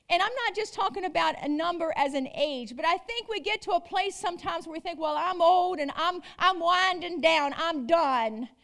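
A woman preaching a sermon, emphatic and repetitive, asking the congregation rhetorical questions. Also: very high-pitched.

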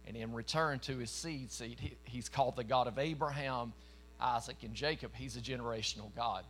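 A man in his forties from East Tennessee with a Southern accent, preaching a sermon to a congregation in a folksy, emphatic way that swells into louder bursts. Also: mid-range voice, fairly quick, a little hiss or hum. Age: 40s. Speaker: traveling preacher. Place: church meeting in front of a congregation.

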